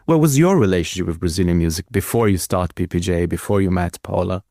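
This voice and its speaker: A man's voice: low voice, fast, mellow, dry recording, studio quality. A man working as a music curator, interviewing musicians enthusiastically and often restarting his sentences as he rambles. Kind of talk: casual interview.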